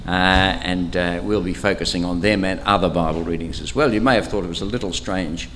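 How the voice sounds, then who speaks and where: Older Australian clergyman with a low, powerful voice and a relaxed, teacherly delivery full of small hesitations, with a faint hum behind him. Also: brisk. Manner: chatty, teacherly, frequent hesitations. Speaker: archbishop leading a Bible study. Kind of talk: interactive Bible study talk. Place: church camp meeting room. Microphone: microphone, sometimes moves away from it.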